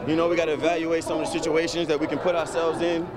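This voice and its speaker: A man's voice: a little high, flat delivery, rapid-fire, over a noisy crowd. A man giving a hesitant, repetitive post-game interview as a team member. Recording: loud ambient location noise.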